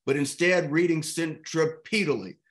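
Brief: expressive man in his fifties, from East Texas, literature discussion leader on a webcam, a touch high-pitched. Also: normal speed. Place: home room with art on walls. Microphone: video call.

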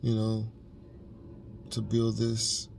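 A man's voice: fairly low pitch, monotone, extremely slow, gravelly. A man in his thirties in a parked car, talking casually to a dashboard camera and thinking aloud with fillers and restarts. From United States. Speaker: vlogger. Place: parked car, seated inside. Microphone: camera on dashboard, facing him.

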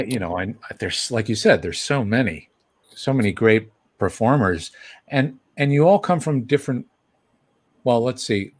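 Lively rambling man co-hosting a video chat. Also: mid-range voice. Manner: rambling, lively, trails off mid-thought. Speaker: co-host, puppeteer. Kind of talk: casual video call conversation. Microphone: video call from home.

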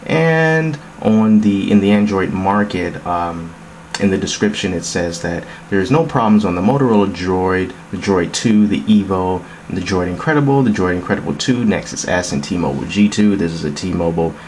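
A man with a low-pitched voice walks through an app demo. He talks informally and explains each step conversationally. The recording is indoors with a light layer of background noise. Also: animated, normal speed, a little mellow. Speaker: app demonstrator. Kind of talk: casual tech demo narration. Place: indoors, phone demo on a wooden table.

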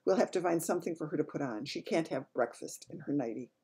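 A woman voicing a composed, dignified older queen with polite, unhurried speech. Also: low voice, animated, warm tone, slightly rough, heavily acted.

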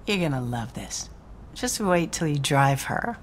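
A sultry video game femme fatale, low-pitched and breathy. She purrs her lines teasingly, over a faint rumble. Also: very animated, measured pace, heavily acted. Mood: seductive. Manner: purring, teasing. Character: sultry, seductive female crime fixer. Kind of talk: cinematic video game acting.

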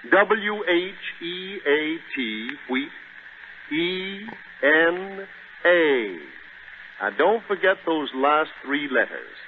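A man announcing an advertisement in emphatic 1930s radio style. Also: high voice. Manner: emphatic, spells out words. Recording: tinny, hissy 1930s radio recording.

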